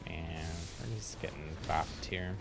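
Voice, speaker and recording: A man's voice: rumbling low, unhurried, vocal fry, noisy background. A man giving casual live gaming commentary as a streamer, chatting in an easygoing way and thinking aloud. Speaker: game streamer.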